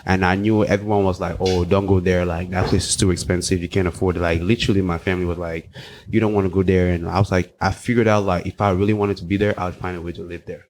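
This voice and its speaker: A young man talks in a very low voice, laid-back and slangy as he jokes with the host. His speech sits deep in his chest, and a faint hiss runs under the recording. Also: level tone, fast talker, darkish tone. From Cameroon. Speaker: guest, party promoter. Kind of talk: casual podcast chat.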